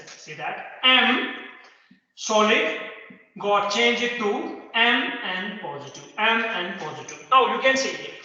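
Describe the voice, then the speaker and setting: A man's voice: extremely high pitch, pushed and bright. A young man teaching chemistry at a whiteboard to a class, repeating key terms and quizzing his students. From Kargil. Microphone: far camera. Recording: echoey room, dull lo-fi sound.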